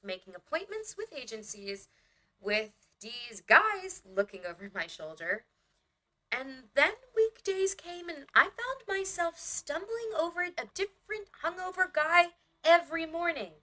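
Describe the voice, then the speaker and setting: Woman playing naive young newcomer, motion comic heroine, animated pitch, bright tone, wry, chatty, eager. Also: exaggerated voice, poor audio. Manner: chatty, wry diary-like telling. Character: naive, eager small-town young woman new in the city. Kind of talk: motion comic narration and dialogue.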